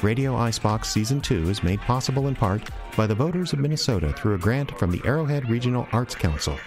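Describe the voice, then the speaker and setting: A man's voice: mid-range voice, lively intonation, quick pace, music in the background. A man with an American accent, a podcast announcer reading scripted show credits.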